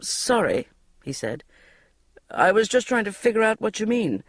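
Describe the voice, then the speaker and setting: A woman's voice: medium pitch, sing-song, normal speed, breathy edge, no room sound, pitched above the performer's own voice. A woman voicing a reserved, curt man, speaking in clipped, abrupt phrases.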